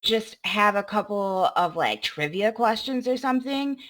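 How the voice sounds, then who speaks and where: A woman's voice: mid-range voice, expressive, measured pace, fairly bright tone. A woman chatting casually as a podcast panelist, offering ideas in informal speech. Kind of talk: casual chat.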